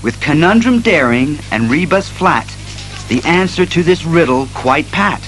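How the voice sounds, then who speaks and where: An actor playing an earnest, methodical masked detective hero who reasons aloud in a declamatory audio drama style. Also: male voice, fairly high pitch, animated, fairly bright tone, soft background music, poor audio. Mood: earnest, resolute. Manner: deliberate, declamatory deduction.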